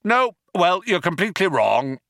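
A man's voice: lowish voice, huge pitch swings, slow, creaky, bright, forceful tone, dry recording, studio quality, exaggerated voice. Man playing a grating, callous alien captain. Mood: cold anger. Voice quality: whirring, grating voice. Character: callous, menacing alien captain who writes poetry.

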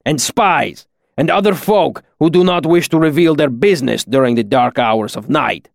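A huge, shaggy master artificer speaks with stern gravity as a male narrator plays him. His phrasing is slow, formal and exacting, like a patient but demanding mentor in a fantasy audiobook. The sound is dry, with very little room. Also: a little high, creaky, dry recording. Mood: stern reproach. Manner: slow, grave, formal, oddly ordered phrasing. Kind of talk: audiobook character dialogue.

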